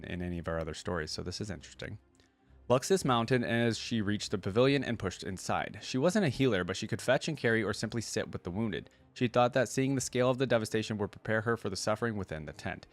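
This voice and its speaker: A man hosting a reaction video, reading fantasy lore aloud and adding casual commentary. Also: sits low.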